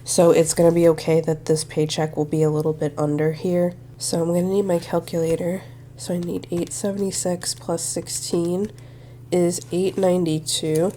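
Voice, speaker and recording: A young woman walks through her budget in a friendly, think-aloud way, her voice a little nasal from congestion. She speaks in a low, mellow tone with very little rise and fall. A faint hiss sits under the recording. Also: flat delivery, normal speed. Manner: friendly, thinks aloud while working numbers. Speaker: budgeting channel host. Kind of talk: casual budgeting walkthrough to camera.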